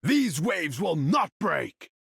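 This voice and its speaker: A haughty, commanding warrior king of the seas for a video game. The delivery is unhurried, pompous and theatrical, with huge melodic swings and a husky grain in the tone. The sound is close and dry. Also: a little high, very animated, fairly bright tone, no room sound, shouting, heavily acted. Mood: triumphant battle cry. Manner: regal, pompous, theatrical declamation in formal speech. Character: proud, imperious male sea king warrior, haughty and commanding. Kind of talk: video game character voice lines.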